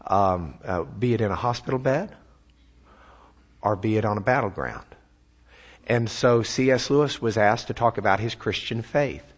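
A man's voice: lowish voice, expressive, normal speed, slightly rough. Folksy Texan man preaching. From Texas. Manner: folksy, self-deprecating, repeats words when building a point. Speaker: speaker giving a church talk. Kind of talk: sermon-style talk with slides.

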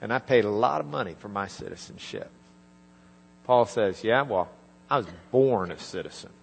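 A man preaching a sermon, retelling a scripture story in a conversational way and quietly acting out the lines of its people. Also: on the low side, expressive, normal speed, vocal fry, slightly rough. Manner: conversational, acts out dialogue in quiet asides. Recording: reverberant hall with mains hum.

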